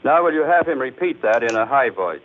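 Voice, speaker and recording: A man's voice: mid-range voice, expressive, normal speed, phone-quality sound, background noise. An American man presenting a scripted demonstration in the style of 1940s narration, on an old archive film.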